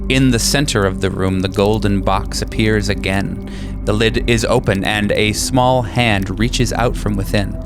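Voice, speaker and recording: Male game master, lowish voice, dramatic scripted horror narration with clear music underneath.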